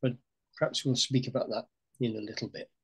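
A man's voice: mid-range voice, little pitch movement, fairly quick, slightly rough. Older man, thoughtful and hesitant. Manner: thoughtful, hesitant, many fillers. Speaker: program co-leader. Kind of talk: reflective webinar talk. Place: home room, indoors. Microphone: video call.

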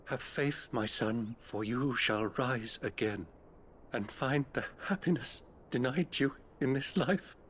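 Slow, solemn British priest. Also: male voice, medium pitch, fairly bright tone, slight background noise. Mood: tender. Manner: measured, compassionate, formal. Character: gentle, solemn middle-aged abbey priest tending the dying. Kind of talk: video game cutscene acting.

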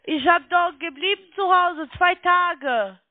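A high-pitched woman with a foreign accent makes a telephone sales pitch as a non-native speaker. She sounds congested with a cold. Her voice comes over a thin phone line. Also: slow, dry recording. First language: not German. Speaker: call center trading sales caller. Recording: muffled band-limited phone line.

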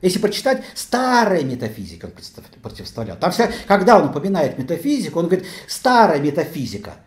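A middle-aged man speaks at a seminar in a slightly high, very animated voice. He halts and restarts as he thinks aloud. The small room echoes around him. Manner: halting, repeats and restarts phrases. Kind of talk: philosophical seminar discussion. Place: small meeting room, indoors.

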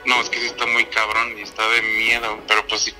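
A man's voice: medium pitch, monotone, fast, sharp tone. A man talking casually and in slang on the receiving end of a phone call, played on speaker. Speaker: prank call recipient. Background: background music.

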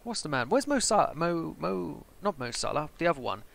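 A young man chatting and joking as he plays through a strategy game for his channel, thinking out loud. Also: fairly high pitch, very animated, normal speed, gravelly. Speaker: gaming channel host. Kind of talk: casual strategy game let's play commentary.

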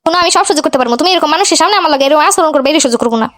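A high-voiced young wife played by a woman in a homemade cartoon, feeling neglected and answering with defiant sarcasm. She scolds at extreme speed in a Bangladeshi Bengali accent. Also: expressive, racing, fairly bright tone. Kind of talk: amateur cartoon dialogue.

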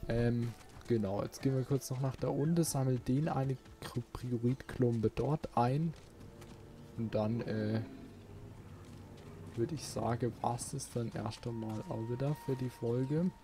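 A man's voice: medium pitch, measured pace, noisy background. A man doing casual let's play commentary, musing aloud and chatting to his viewers. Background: game music.

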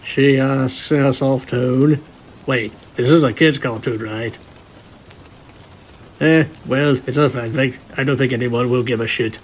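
A man's voice: medium pitch, fairly even intonation, measured pace. A man narrating a cartoon fan fiction romance, reading it out like a story. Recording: faint noise behind the voice.

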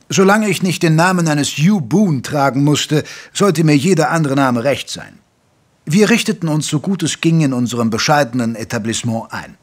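An actor playing a gentlemanly doctor who narrates an audio drama in articulate, literary, measured speech. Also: male voice, medium pitch, breathy edge. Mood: dry. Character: gentlemanly male doctor, detective's loyal companion, narrating. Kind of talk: scripted first-person audio drama narration. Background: music.

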